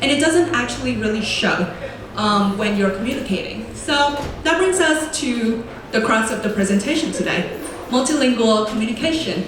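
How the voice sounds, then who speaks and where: A young woman, a native Vietnamese speaker, gives a prepared student presentation in a clear, deliberate way and slows down to stress key words. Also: on the high side, animated, noisy background. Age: young adult. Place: large echoey lecture room. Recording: very echoey room, voice from far off.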